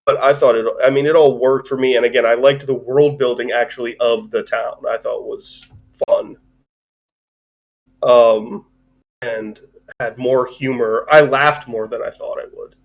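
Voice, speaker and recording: Man, medium pitch, casual film discussion, opinionated, hedging, wandering sentences. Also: steady tone, unhurried. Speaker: podcast host. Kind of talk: casual movie review chat.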